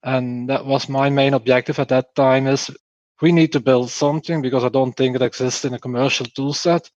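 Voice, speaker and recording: A man's voice: medium pitch, fairly even intonation, a little fast, a little mellow, no room sound. A man with a Flemish Belgian accent explains his field as an interview guest. He leans on key phrases by repeating them. The recording is dry and slightly dull. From Belgium, Flanders. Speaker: podcast guest, performance testing engineer.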